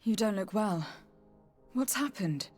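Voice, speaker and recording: An actress playing a composed young noblewoman diplomat in a video game, speaking in a measured, formal, earnest way. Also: mid-range voice, animated, a little mellow, faint music behind. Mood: concerned. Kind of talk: video game cutscene acting.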